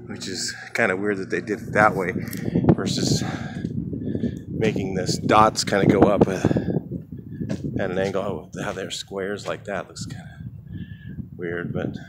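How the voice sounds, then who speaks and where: A man who works as a house painter, walking around a building outdoors and thinking aloud in casual commentary, often trailing off mid-thought. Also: lowish voice. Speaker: house painter filming a walkthrough. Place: outdoors beside a large building. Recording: outdoor camera audio with traffic noise.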